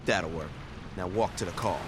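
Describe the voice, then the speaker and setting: Man acting a sardonic gang leader, low pitch, hard-boiled, video game cutscene, noisy background. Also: normal speed, lightly acted. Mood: cold, sardonic. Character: tough, sardonic male street gang leader.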